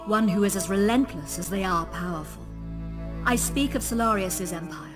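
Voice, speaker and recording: A solemn, regal queen, voiced by a woman, formal and measured in her delivery. Soft music plays behind her. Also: medium pitch, natural voice.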